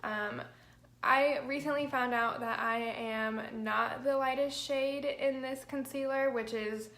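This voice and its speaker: Hoarse young woman chatting on a livestream. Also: high voice, steady tone, slow pace, fairly bright tone, reverberant. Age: young adult. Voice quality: chatty and rambling, fills with "like" and "um". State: hoarse, crackly voice from allergies. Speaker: makeup vlogger. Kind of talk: chatty get-ready-with-me livestream. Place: small bedroom, indoors. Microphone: built-in mic of a camera at arm's length.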